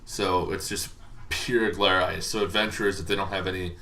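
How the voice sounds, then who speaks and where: Very deep, bright-voiced man explaining casually. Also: little pitch movement, normal speed. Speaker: dungeon master explaining tabletop monster lore. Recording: faint background noise.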